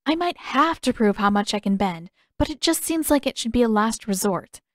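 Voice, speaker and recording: A stubborn teenage girl, guarded and set on her course, read by a woman narrator. Her voice is fairly high, in a dry audiobook recording. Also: animated, normal speed, no echo, close to an everyday voice. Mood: thoughtful. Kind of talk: character dialogue in audiobook narration.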